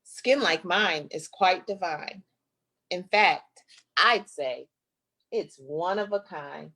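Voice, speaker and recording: Lady reading a kids book out loud, real slow and playful, expressive storytime style. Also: lowish voice. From Flint, United States. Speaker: guest author reading her own book. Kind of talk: expressive children's picture book read-aloud.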